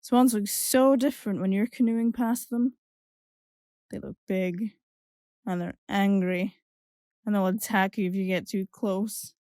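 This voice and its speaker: A woman's voice: medium pitch, steady tone, unhurried, long pauses, dark tone, dry recording, clean studio sound, close to an everyday voice. An actress playing an impulsive, lovestruck young woman, telling her story candidly and conversationally in an audio drama. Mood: wry. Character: impulsive, lovestruck young Scottish woman recalling a whirlwind romance. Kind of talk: confessional audio drama narration and dialogue.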